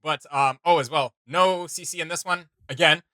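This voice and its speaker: A man's voice: fairly high pitch, normal speed, pushed and bright, no room sound, clean studio sound. A man hosting a reaction video, giving casual, rambling commentary in a conversational way.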